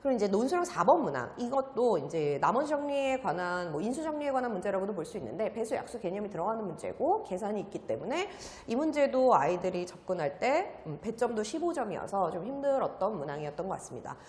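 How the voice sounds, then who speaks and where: A woman's voice: mid-range voice, sing-song, fast talker, few pauses, a little mellow. A female math academy teacher explaining an exam's results to students, using frequent "uh" fillers. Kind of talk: exam analysis lecture. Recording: echoey room with long reverb.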